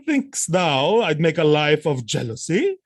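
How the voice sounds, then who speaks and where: An actor playing a proud military husband troubled by jealousy, speaking Shakespearean verse emphatically and haltingly in an audio drama. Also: male voice, fairly high pitch, sing-song, dry recording, studio quality, full character voice. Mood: proud, defensive.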